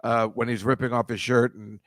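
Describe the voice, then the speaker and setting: A man's voice: medium pitch, level tone, brisk, no room sound. A man hosting a loose comedy talk, wry and rambling, with a mid-range voice. He chuckles at his own lines and rattles through topics. The recording is close and dry. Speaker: host. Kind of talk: casual comedic chat.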